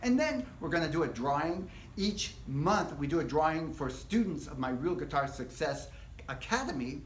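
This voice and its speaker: A man teaching a casual live guitar workshop, explaining things step by step in a relaxed, rambling way. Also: a little high, normal speed, slight background noise. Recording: echoey room.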